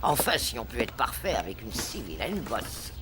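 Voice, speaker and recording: A woman's voice: extremely low pitch, very animated, moderate pace, heavy background noise. Haughty scheming lady, swooping voice. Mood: condescending. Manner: mocking, falsely sweet. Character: haughty, scheming, condescending unmarried woman. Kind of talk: French cartoon voice acting.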